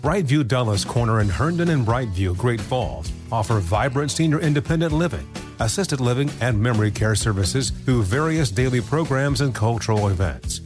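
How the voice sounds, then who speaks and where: Man, commercial announcer, polished scripted read, lively pitch movement, music behind. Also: moderate pace. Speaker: advertisement announcer.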